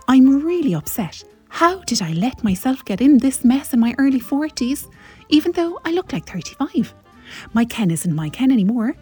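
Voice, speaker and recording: A woman's voice: fairly high pitch, warm tone, husky. A woman playing a vain, self-pitying suburban wife in her forties with a Cork accent, telling a gossipy, dramatic comic monologue. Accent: Irish, Cork. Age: 40s.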